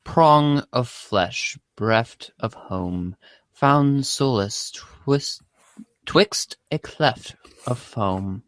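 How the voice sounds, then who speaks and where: A man's voice: mid-range voice, expressive, extremely slow, fairly bright tone, no echo. A man reading the part of an arch, teasing, bookish teenage girl in a comic script reading, mock-formal and poetic.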